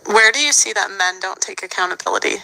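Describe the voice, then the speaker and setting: A woman's voice: mid-range voice, fast talker, creaky, bright, forceful tone, slight background noise, rough recording. A woman hosting a podcast panel, putting direct questions to her guests. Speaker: podcast host. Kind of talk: moderating a panel discussion.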